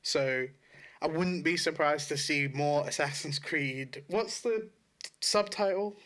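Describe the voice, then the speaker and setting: A man's voice: fairly high pitch, slow pace, a bit bright. A man co-hosting a podcast, chatting casually in an informal, sweary way about video games. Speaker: podcast co-host.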